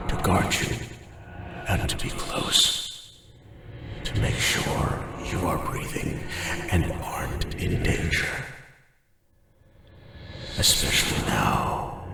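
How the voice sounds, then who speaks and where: A man voicing a hulking, gentle, monstrous armored guardian in a scripted ASMR roleplay, with a breathy gravelly rumble and halting, formal, solemn phrasing. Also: full character voice. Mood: tender, protective. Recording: very echoey, distant, faint noisy ambience.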